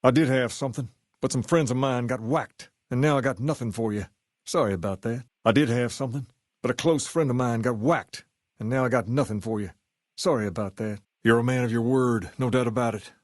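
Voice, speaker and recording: Male actor as smooth video game gang leader, drawling swagger, folksy and cool, mid-range pitch, close dry sound. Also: fairly quick, dry recording, natural voice. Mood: regretful, then grateful. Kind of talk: video game dialogue acting.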